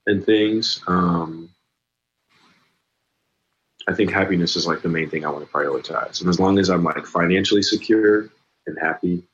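A young man in his twenties with a very deep voice, speaking slowly and loosely as a guest in a casual interview. His words come with frequent ums and likes. The recording sounds a little dull and muffled. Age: 20s. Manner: slow, relaxed, many ums and likes. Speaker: singer-songwriter guest.

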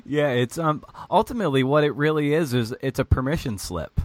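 A man's voice: fairly high pitch, brisk, no room sound. Dry-sounding male podcast host. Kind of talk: casual conversational interview.

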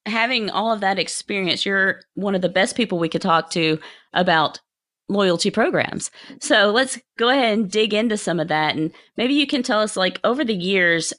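A woman co-hosting a business podcast, a little hoarse from a cold, reading a guest's introduction and asking questions. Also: mid-range voice, moderate pace, a bit bright, no room sound, studio quality. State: slightly hoarse, coming down with a cold. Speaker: podcast co-host.

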